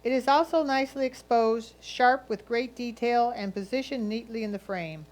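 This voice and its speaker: A woman's voice: on the high side, moderate pace, darkish tone. A woman reading a judge's written comments aloud as a critique.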